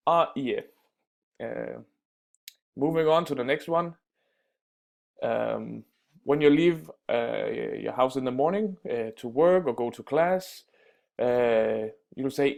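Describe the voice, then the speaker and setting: A man teaching everyday phrases in a casual spoken lesson, pausing often with fillers and restarts. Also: mid-range voice, gravelly, darkish tone. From Denmark. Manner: halting, many fillers and restarts. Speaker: language teacher.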